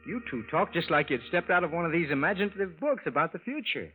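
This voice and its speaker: An actor playing a folksy, puzzled American landlord in 1940s radio style, plain-spoken and hesitant. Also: male voice, fairly high pitch, big pitch swings, fast, slightly rough. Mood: incredulous. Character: puzzled, folksy husband and landlord narrating his story. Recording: muffled vintage radio recording with music.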